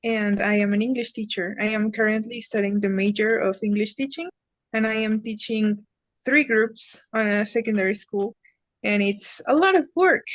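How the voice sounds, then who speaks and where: A young woman from Mexico with a Mexican accent, a student teacher speaking in a lively, animated way in a speaking exam. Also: mid-range voice, normal speed, no echo, low-quality recording. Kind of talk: exam conversation.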